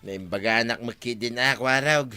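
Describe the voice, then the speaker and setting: A humble village farmer in an Ilocano radio drama. He speaks at a middle pitch with a bright, forceful edge, plaintive and emphatic. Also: fairly quick, smooth, no room sound, faint music behind. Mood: aggrieved. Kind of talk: Philippine radio drama acting.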